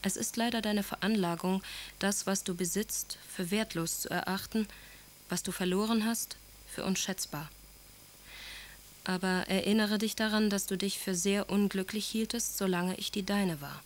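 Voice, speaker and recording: An actress playing a composed, resolute young wife, reading letters in a measured, formal way in a radio drama. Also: female voice, mid-range voice, steady tone, a little hiss or hum, close to an everyday voice. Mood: grave, resolute. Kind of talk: German radio drama, letters read in character.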